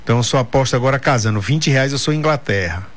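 Chatty radio host. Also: male voice, mid-range voice, lively intonation, quick pace. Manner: chatty, joking, reads news aloud. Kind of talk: casual radio talk show.

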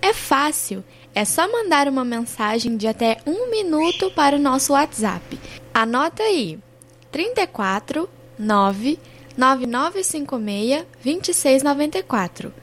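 A woman announcer reading a station promo, clearly reading out a phone number. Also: sits high, sing-song, a little slow, fairly bright tone. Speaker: radio announcer.

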